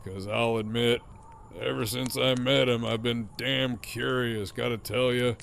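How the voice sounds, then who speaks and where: An actor playing a mocking, foul-mouthed middle-aged antagonist with a sardonic, needling drawl in an audio drama. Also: male voice, mid-range voice, steady tone, a little slow, gravelly, fairly bright tone, slight background noise. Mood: taunting, amused.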